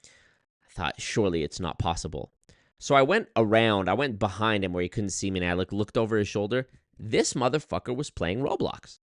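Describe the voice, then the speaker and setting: A man's voice: on the low side, moderate pace, no room sound. Swearing, exasperated male streamer, dry sound. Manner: animated storytelling, swears a lot, exasperated. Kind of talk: casual livestream rant.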